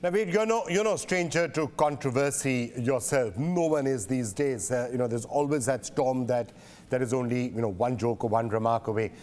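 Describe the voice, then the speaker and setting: A man's voice: mid-range voice, moderate pace, darkish tone. A man hosting an interview, asking probing questions and often repeating phrases as he builds them. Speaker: interviewer, news show host.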